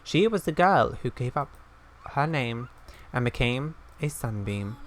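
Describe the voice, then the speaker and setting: A man reading aloud a solemn fantasy game elder who remembers a lost friend, in an earnest, measured, halting way. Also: mid-range voice, lively intonation, lightly acted. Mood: solemn, wistful.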